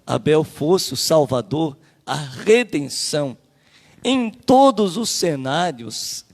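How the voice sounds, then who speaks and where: Gravelly Brazilian preacher in reverberant hall. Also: male voice, fairly high pitch. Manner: emphatic, repetitive, rhetorical questions. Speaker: church preacher leading the class. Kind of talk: Bible teaching, preaching.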